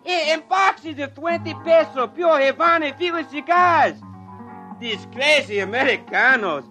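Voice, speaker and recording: An actor playing a superstitious, wide-eyed hotel worker with a Mexican accent in a 1950s radio drama. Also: male voice, very high voice, moderate pace, sharp tone, soft background music. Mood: amazed.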